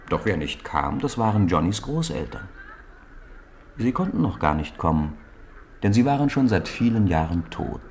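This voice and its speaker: A man with a very low voice tells a story in the manner of a 1950s radio play. His delivery is measured and expressive, that of a practised narrator. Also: slight background noise.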